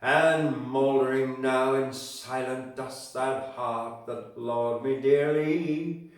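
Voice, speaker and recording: A man doing a grieving Scottish lover reciting in a slow, declaiming lilt. He lingers heavily on every word. The voice rings out from a distance in a very echoey room. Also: mid-range voice, fairly even intonation, natural voice. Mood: mournful tender. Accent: Scottish, put on. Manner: slow, declaiming, raised and ringing. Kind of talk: reciting verse aloud. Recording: very echoey room, mic far off.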